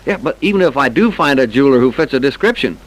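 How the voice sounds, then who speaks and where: Sceptical police investigator, male actor, brisk and gruff, slangy questioning, vintage radio crime drama. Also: medium pitch, expressive, close to an everyday voice, low-quality recording. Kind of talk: old-time radio crime drama acting. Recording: vintage radio broadcast recording.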